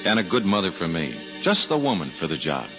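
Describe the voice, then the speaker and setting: A man's voice: sits low, big pitch swings, brisk, fairly bright tone. An American actor playing a grown son who looks back on his boyhood, narrating a 1940s radio drama in a wry, reflective way. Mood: fond, reflective. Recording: dull old radio recording, music.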